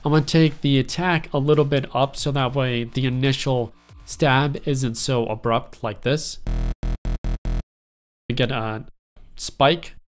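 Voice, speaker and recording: A young man with a stuffy, congested voice explaining step by step how he builds a synth sound, in a relaxed, rambling way with lots of fillers. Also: medium pitch, unhurried, faint music behind. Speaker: music production tutorial host. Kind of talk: casual screen-recorded software tutorial.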